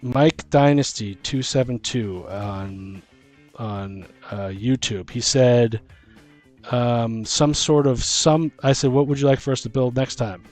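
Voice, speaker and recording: Male game master, casual and halting, excitable brainstormer, slightly low-to-middle everyday voice, music underneath. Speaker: host and dungeon master on a tabletop gaming show.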